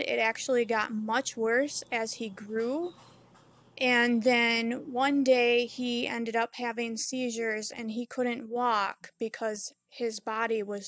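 Slow-talking woman explaining chicken care. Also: on the high side, no room sound. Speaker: backyard chicken keeper and channel host. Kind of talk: informal explainer over phone footage.

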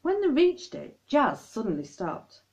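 A woman's voice: high voice, huge pitch swings, a little slow, darkish tone. A woman reading a children's story aloud on a lo-fi home recording. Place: high-ceilinged living room.

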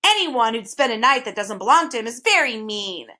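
A woman's voice: on the high side, sing-song, brisk, pushed and bright. A woman voicing a filthy, malicious, haughty male bird who cackles and shrieks in a storybook. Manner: cackling, shrieking, twisting others' words.

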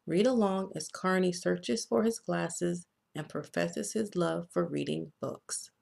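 An American woman reading a children's storybook aloud as a narrator, in a deliberate, expressive read-aloud manner. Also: on the low side, vocal fry. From United States. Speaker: children's author reading own book.

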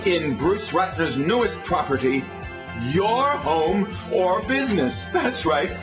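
A middle-aged man giving a sarcastic, theatrical mock infomercial pitch. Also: lively intonation, takes it slowly, music in the background. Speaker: infomercial pitchman in a satirical rant.

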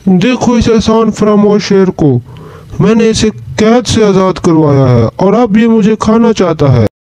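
A man's voice: fairly high pitch, unhurried, mellow, lightly acted, rough recording. A man playing a kind but wary ordinary fellow in a children's fable, speaking earnestly. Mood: distressed.

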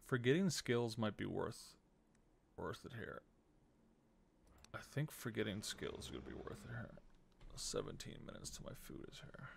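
Male game streamer, thirties, heavy vocal fry, lively pitch, casual muttered play-by-play. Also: moderate pace, pauses often, slight background noise. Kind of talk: casual gameplay commentary, thinking aloud. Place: small room at home, indoors. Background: game music.

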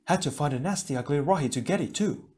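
A cheerful, talkative young air hero, as a man puts him on in a raised, bright voice. His grammar comes out jumbled and broken. He is done as a figure in a read-aloud adventure book. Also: fairly high pitch, lively intonation, fast talker, voice pushed up, close to an everyday voice. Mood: chatty.

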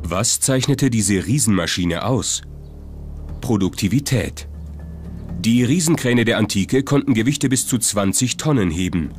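A man's voice: lowish voice, expressive, unhurried, a little mellow. A man reads scripted documentary narration. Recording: music behind the voice. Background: music.

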